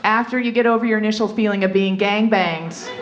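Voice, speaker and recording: A woman's voice: medium pitch, fairly quick, highs cut off, noisy background. A woman comedian performing a stand-up routine, telling candid, bawdy stories and acting out asides. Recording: live comedy club with audience.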